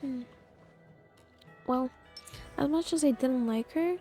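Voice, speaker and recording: A woman livestreamer chatting casually over a game, musing informally and hesitantly with pauses. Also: high voice, dark tone. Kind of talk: casual chat over gameplay. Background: game music.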